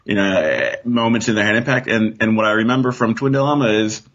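A man's voice: lowish voice, fast, fairly bright tone. A man chatting casually as a podcast guest, rambling and thinking aloud as he goes. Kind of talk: casual chat. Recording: dull, muffled lo-fi sound.

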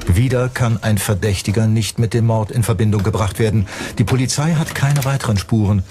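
A man's voice: on the low side, a little fast, a little mellow, a little hiss or hum. A man narrating a true-crime documentary in a scripted style. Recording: music under the voice. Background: background music.

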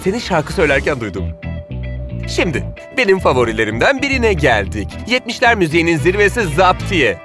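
An actor voicing a laid-back cartoon footballer who loves music and hypes it up in a chummy, showy way. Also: male voice, on the high side, huge pitch swings, fairly quick. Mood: enthusiastic, chummy. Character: laid-back, cool music-loving male footballer, enthusiastic buddy. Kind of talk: animated cartoon dub acting.

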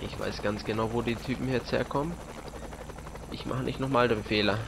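Young guy doing lets play commentary, rambly n bit halting, super noisy game gunfire behind him. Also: mid-range voice, steady tone, vocal fry. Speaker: let's play host. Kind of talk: casual gameplay commentary. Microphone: headset. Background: video game gunfire, vehicles, helicopter.

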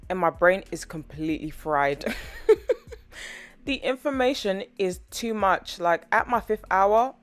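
A young woman with a slightly hoarse voice chatting through a nail art tutorial voiceover, rambling and self-deprecating. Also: low-pitched. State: slightly hoarse, sore throat. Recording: voice-over with faint background music.

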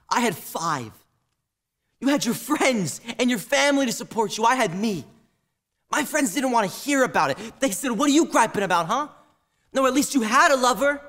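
A high-voiced young man, as acted by a student actor, playing a grieving and defiant son in a stage monologue. His delivery swings widely in pitch as he confronts his mother. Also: a bit bright. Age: teens to young adult.

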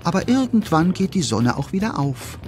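A man narrating a children's audio drama in a lively scripted storytelling style. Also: high voice, moderate pace, music in the background.

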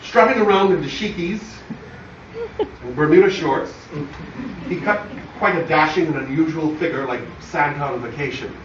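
A man with a Barbadian accent reads a prepared tribute in a formal, measured way, his voice slightly high. It is picked up from far off in a very echoey space, through a muffled phone recording. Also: fairly bright tone, slight background noise. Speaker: son delivering a eulogy for his father. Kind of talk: scripted memorial speech to an audience. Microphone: phone camera far from the speaker.

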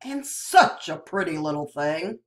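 Woman doing a gruff, leering soldier at a checkpoint; loud, slow, swinging pitch. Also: big pitch swings, reverberant. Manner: gruff, demanding, louder than narration. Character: leering rough-faced male border guard.

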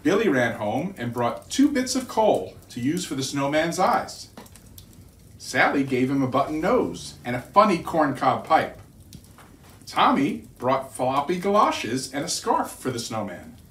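Slow, animated man reading a storybook. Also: on the high side. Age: middle-aged. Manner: expressive, deliberate. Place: living room by a fireplace, indoors. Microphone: distant camera. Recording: roomy living room, distant mic.

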